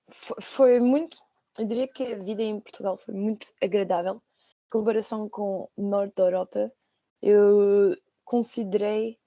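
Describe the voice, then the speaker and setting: Woman, 20s, podcast guest. Soft-edged mellow tone, close dry sound, hesitant conversational delivery. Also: mid-range voice, dry recording. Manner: hesitant, searching for words with repetitions. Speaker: podcast guest, former trainee. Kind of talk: conversational interview answers.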